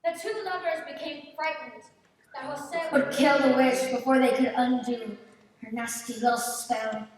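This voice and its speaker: A woman playing a solemn storyteller, narrating a stage play in a measured, theatrical way. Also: high voice, fairly even intonation, a little hiss or hum. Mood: suspenseful. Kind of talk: scripted dramatic stage narration. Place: large indoor theater stage. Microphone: distant camera. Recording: echoey theater stage from far off.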